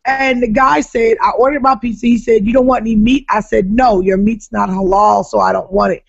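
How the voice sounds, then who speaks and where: A woman from Jacksonville, Florida with a raspy voice, telling profane stories in casual chat as a comedian guest, often laughing loudly. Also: fairly high pitch, steady tone, a little fast. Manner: brash, profane storytelling with loud laughter. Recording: dull lo-fi podcast audio.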